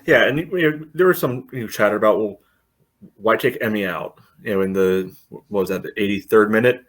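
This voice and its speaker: Podcast co-host, male, low-pitched, rambling casual delivery packed with "you know" fillers. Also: fairly quick. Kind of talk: casual soccer discussion.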